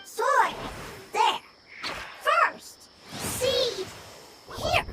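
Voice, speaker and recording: A woman voicing a small, simple, anxious creature in a video game, speaking in halting broken fragments. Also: very high voice, lively intonation, very noisy, exaggerated voice. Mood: eager. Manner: halting fragments of one to three words. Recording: loud music behind the voice. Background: game music.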